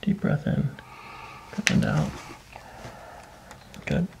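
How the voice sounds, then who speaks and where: A middle-aged man working as a chiropractor, giving a patient short, soothing step-by-step instructions during hands-on treatment. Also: slow. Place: small treatment office, indoors. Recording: faint noise behind voice.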